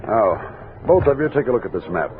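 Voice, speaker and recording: An actor playing a brisk, kindly commanding American Army captain in a radio drama, plain-spoken and used to giving orders. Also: male voice, fairly low pitch, big pitch swings, slight background noise. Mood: businesslike. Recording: muffled old radio recording.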